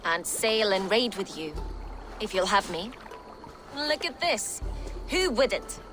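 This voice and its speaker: A woman's voice: mid-range voice, very animated, takes it slowly. Wry Viking warrior woman, music behind. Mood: eager. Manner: wry, spirited. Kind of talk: dramatic video game acting.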